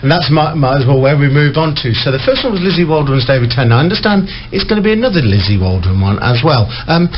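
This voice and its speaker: A man's voice: fairly high pitch, lively intonation, quick pace, few pauses. A man hosting a local radio interview, putting questions to his guests. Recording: dull, clearly noisy recording.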